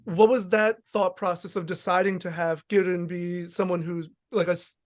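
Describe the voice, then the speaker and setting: A man's voice: sits high, fairly even intonation, moderate pace. A man hosting a podcast, asking questions in a thoughtful, casual way with many fillers and hedges. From United States. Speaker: podcast host. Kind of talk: casual interview chat.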